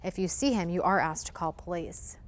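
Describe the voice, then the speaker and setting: A woman's voice: lowish voice, fairly quick, loud music behind the voice. A woman anchoring local news, reading scripted stories in a broadcast style.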